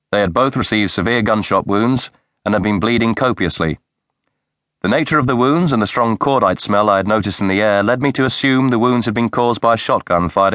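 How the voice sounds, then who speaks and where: A man narrating a mystery audiobook in a measured, even way, reading from a script into a close studio mic. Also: fairly low pitch, no room sound.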